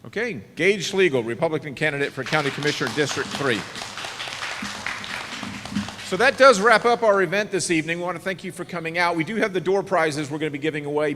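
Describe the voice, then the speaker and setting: Loud moderator voice in a hall. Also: male voice, fairly high pitch, slight background noise. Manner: loud, announcer-like, confident. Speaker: debate moderator. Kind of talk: moderating a public event. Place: event hall, PA sound system. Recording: long hall reverb.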